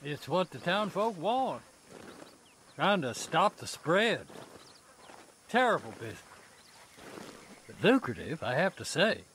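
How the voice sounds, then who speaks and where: High-pitched, drawling frontier undertaker. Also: male voice, very animated, takes it slowly, pauses often, fairly bright tone, slight background noise, heavily acted. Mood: matter-of-fact. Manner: drawling, folksy. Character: folksy, morbid old frontier undertaker, wary but mercenary. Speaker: undertaker character in a western video game. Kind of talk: western video game acting.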